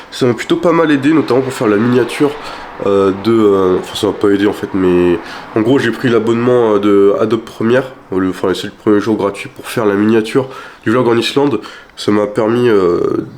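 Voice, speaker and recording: A young man vlogging casually about his week, rambling with lots of fillers and restarts, sounding stuffy from a cold. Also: on the low side. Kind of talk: casual weekly vlog chat.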